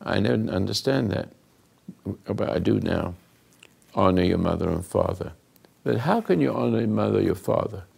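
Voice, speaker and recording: An older man hosting a talk, giving rambling, earnest moral teaching to a live group, often dropping into hushed asides. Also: lowish voice, animated, normal speed. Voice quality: gravelly, husky. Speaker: host and spiritual counselor.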